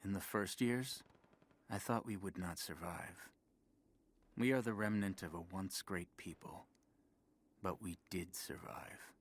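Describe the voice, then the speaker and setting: A man playing a weary, devout chronicler of a surviving people, narrating a video game document in a solemn, measured way. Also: low-pitched. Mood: solemn, resolute.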